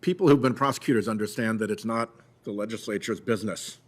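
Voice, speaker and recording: A man, a senator opening his questioning at a hearing in a formal, measured way. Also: on the low side. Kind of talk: committee hearing remarks.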